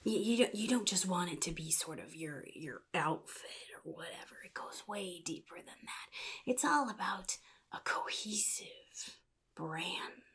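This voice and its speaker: A woman voicing a chaotic, jittery male clown supervillain in soft-spoken ASMR roleplay, half-whispering and rambling in halting, sly phrases. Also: lowish voice, expressive, heavily acted. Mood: sly, confiding. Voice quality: breathy, gravelly, half-whispered. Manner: halting, rambling, sly, addresses listener as kid.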